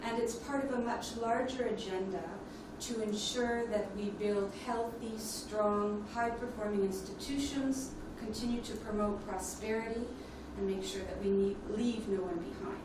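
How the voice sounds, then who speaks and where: Woman presenting at a conference, measured explainer, really echoey hall, noisy room sound. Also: mid-range voice, fairly even intonation. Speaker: conference speaker, leadership development specialist. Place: indoor conference plenary room. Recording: echoey hall, distant mic, noisy ambience.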